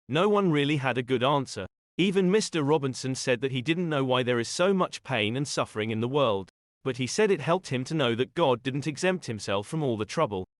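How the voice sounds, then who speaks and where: A man playing an earnest, reasonable young husband in an audio drama, speaking in a measured, thoughtful way. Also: medium pitch, no room sound, clean studio sound, lightly acted.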